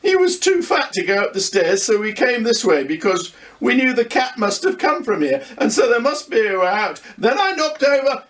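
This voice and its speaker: A man's voice: very high voice, sing-song, normal speed, few pauses, a bit bright, distant mic, pitched above the performer's own voice, heavily acted. A man voicing an eager, talkative young boy in a storybook reading.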